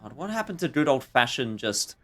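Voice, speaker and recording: A man's voice: mid-range voice, sing-song, fairly quick, fairly bright tone. A man giving casual, wry gameplay commentary as a streamer, joking at his own expense and thinking aloud. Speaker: streamer playing a game. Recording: faint game music behind.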